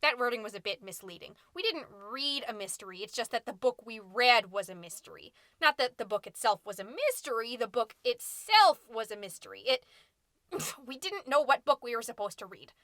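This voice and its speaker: Woman playing a bright, chirpy suburban mom podcaster. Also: a little high, animated, moderate pace, no room sound, studio quality. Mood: fussy, explaining. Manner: perky, folksy, theatrical, fussy. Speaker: podcast host. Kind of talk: scripted comedic monologue as a podcast.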